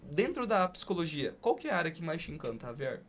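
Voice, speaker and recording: A man's voice: fairly high pitch, very animated, fast talker. A man with a Brazilian accent hosting a casual podcast interview. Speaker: podcast host. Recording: faint background noise and ambience.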